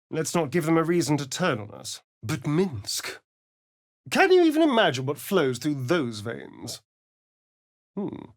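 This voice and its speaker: A man's voice: mid-range voice, fairly bright tone, heavily acted. An actor playing a sardonic, flirtatious male vampire spawn elf in a video game, with a theatrical, teasing drawl.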